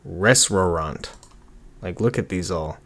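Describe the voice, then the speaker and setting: Low-voiced man, rambling casual tutorial. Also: expressive, moderate pace. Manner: rambling, informal, muttering to himself while working. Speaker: online marketing tutorial host. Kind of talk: casual screen-share tutorial, thinking aloud. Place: small indoor room at a computer.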